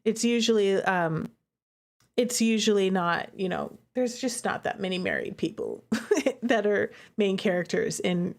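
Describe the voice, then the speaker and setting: A woman streamer giving casual, expressive and giggly commentary on a game she made herself. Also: mid-range voice.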